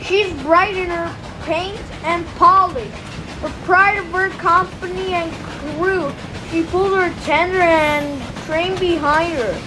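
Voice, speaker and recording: Slow, high-voiced woman reading a storybook. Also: steady tone, background noise. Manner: deliberate, emphatic phrasing with pauses between short lines. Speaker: storybook reader. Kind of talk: expressive picture-book read-aloud.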